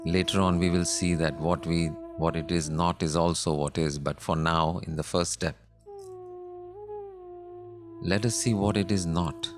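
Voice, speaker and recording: Deep-voiced Indian man guiding meditation. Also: steady tone, over music. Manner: measured, deliberate, with long pauses. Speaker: meditation guide. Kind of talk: guided meditation, contemplative teaching.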